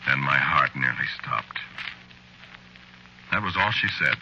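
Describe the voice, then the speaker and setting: An actor playing a meek, guilt-ridden husband confessing his crimes in a halting, brooding way, in 1940s radio drama style. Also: rumbling low, lively intonation, pushed and bright, heavily acted. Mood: troubled confession. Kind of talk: 1940s radio drama acting, first-person narration. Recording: muffled, hissy old radio recording.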